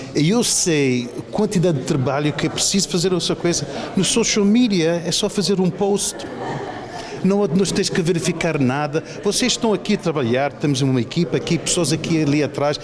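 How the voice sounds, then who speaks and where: Higher-voiced Canadian-born man, emphatic and repetitive. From Toronto, Canada. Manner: emphatic, repetitive, halting search for words. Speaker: television producer being interviewed. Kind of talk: spontaneous interview answers. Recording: busy crowd chatter around the speaker. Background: crowd of people talking.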